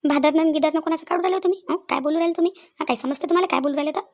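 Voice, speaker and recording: A woman as a high, fast, nagging village wife. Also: darkish tone. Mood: scolding, aggrieved. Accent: rural Maharashtrian, Vidarbha. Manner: scolding, complaining, repeats her phrases. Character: nagging, quarrelsome village wife and mother, aggrieved. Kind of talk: Marathi comedy cartoon dialogue.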